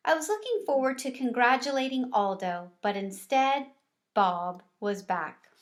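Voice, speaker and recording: A woman with a fairly high voice reads aloud to children. She sounds casual and tells the story expressively, lifting her pitch for dialogue. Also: expressive, a little slow. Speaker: reader of a children's book aloud.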